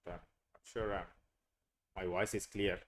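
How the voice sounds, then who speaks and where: A man's voice: low voice, flat delivery, a little slow, fairly bright tone, a little hiss or hum. A young man, teaching a live online class on a video call, hesitant with many fillers and restarts. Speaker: online course instructor.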